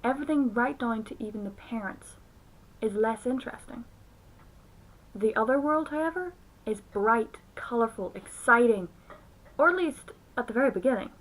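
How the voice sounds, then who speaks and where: A woman delivers scripted film review commentary. Her voice sits fairly high as she talks through her points as a reviewer. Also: animated, moderate pace, low-quality recording. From outside the United States.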